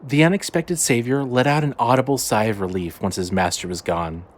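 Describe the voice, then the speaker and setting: A man's voice: medium pitch, a little fast. A man reading a scripted audio drama as its narrator. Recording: music under the voice. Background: clearly audible music.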